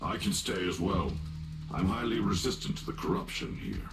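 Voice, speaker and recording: A man's voice: sits low, normal speed, lightly acted. A man voicing a stern, protective male fighter in an anime-style game. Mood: steady. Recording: loud game music behind.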